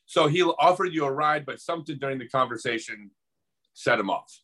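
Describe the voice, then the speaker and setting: A man's voice: medium pitch, expressive, brisk, bright, forceful tone. A man hosting a casual interview who asks short clarifying questions. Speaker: host.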